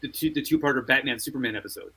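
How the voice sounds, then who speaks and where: A man's voice: medium pitch, rapid-fire, pushed and bright, slightly rough. A man chatting casually about pop culture as a podcast panelist.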